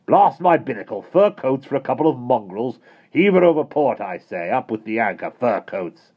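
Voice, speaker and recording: A man's voice: on the low side, lively intonation, normal speed, husky, dull audio, voice pushed up. A man voicing a blustering old admiral who roars his lines. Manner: roaring, blustery.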